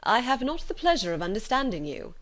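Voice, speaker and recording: A woman voicing a calm, dryly ironic middle-aged father in a storybook, deadpan and formal. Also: fairly high pitch, brisk, voice pushed up. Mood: unconcerned.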